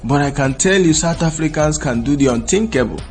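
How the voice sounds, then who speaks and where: A man's voice: on the high side, lively intonation, brisk, soft background music. A man with a Nigerian accent giving opinionated news commentary, emphatic and insistent, repeating his points.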